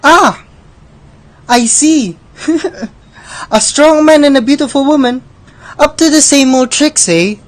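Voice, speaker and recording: A woman's voice: sits high, takes it slowly, long pauses. A woman playing Death as a sly, scornful gambler in a radio drama, with a theatrical, condescending delivery. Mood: sly amusement.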